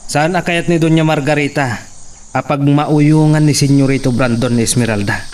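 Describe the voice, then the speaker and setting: Man acting a taunting henchman, fairly high voice. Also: normal speed, a little mellow, distant mic, a little hiss or hum, close to an everyday voice. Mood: grumbling, worried. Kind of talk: Ilocano radio-style audio drama acting.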